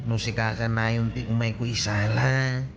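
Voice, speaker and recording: Man playing a lovestruck Ilocano village bachelor, mid-pitched. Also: little pitch movement, normal speed, vocal fry, highs cut off, noisy background, close to an everyday voice. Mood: earnest pleading. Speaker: radio drama actor. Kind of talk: comedy radio drama acting. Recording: music bed behind the voice.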